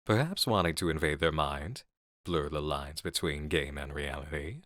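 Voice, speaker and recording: Give me a man acting an obsessive horror game designer, low and seductive, in a dry, close ASMR roleplay. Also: moderate pace, a bit bright, no echo. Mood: musing, intrigued. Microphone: close studio mic.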